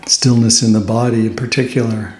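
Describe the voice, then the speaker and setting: A man leading a guided meditation as a teacher, speaking gently and unhurriedly, with pauses between phrases. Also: medium pitch, steady tone, roomy sound.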